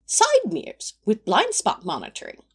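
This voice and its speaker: A woman's voice: a little high, huge pitch swings, moderate pace, breathy edge, heavily acted. A woman playing a prim, fussy male angel, genteel and mildly put-upon, in a fan audio drama. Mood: prim, defensive.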